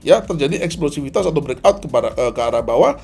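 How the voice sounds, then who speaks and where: A slightly hoarse man giving a tutorial as a trading instructor, didactic, often asking and answering his own questions. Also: mid-range voice, expressive, fast talker, darkish tone, soft background music. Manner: didactic, asks and answers own questions, addresses viewers as friends. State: hoarse, often clearing throat. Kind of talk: tutorial commentary on a chart replay.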